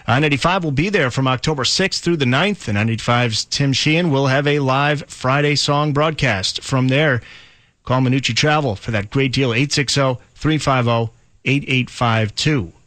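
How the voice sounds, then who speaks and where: A man's voice: mid-range voice, moderate pace, fairly bright tone, dry recording. An American man working as a rock radio DJ, announcing songs, contests and local news in a polished, punchy, upbeat announcer style.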